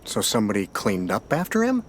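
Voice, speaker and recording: An actor playing a steady, probing American sheriff's detective in an audio drama, questioning in a measured, matter-of-fact way. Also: male voice, lowish voice, slight background noise. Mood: dry, skeptical.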